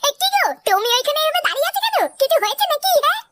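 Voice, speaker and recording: Cartoon scolding wife, woman performing: stern, nagging, very high pitch, rapid delivery. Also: very animated, music in the background. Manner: questioning, scolding. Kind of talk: comic cartoon dialogue.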